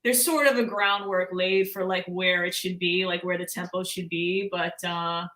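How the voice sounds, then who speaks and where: A woman's voice: lowish voice, steady tone, normal speed, a bit bright. A woman with a Long Island accent, a guest musician chatting casually in a live-stream interview, often trailing off and laughing.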